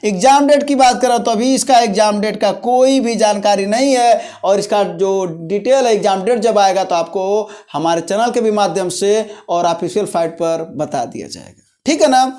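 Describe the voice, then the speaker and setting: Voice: medium pitch, normal speed, a little mellow. Instructional explainer voice, repeating key points. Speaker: host of a job-notification video channel. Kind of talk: informal explainer of exam and vacancy details.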